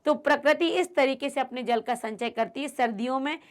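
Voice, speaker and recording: A woman's voice: high voice, normal speed. A middle-aged woman teacher giving an exam revision lesson, explaining and repeating points for her students.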